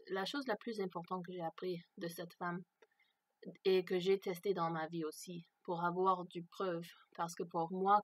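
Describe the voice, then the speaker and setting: A woman for whom French is not her first language, speaking unscripted to camera, hesitant and searching for words with many fillers. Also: on the low side. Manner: slow, thoughtful. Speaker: vlogger. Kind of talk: unscripted personal talk to camera.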